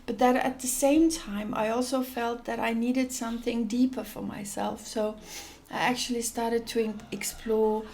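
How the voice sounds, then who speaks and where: A woman's voice: a little high, steady tone, moderate pace, vocal fry, hardly any pauses, a little mellow, a little hiss or hum. A woman from Denmark, an NGO executive director, answering interview questions thoughtfully with many fillers and restarts.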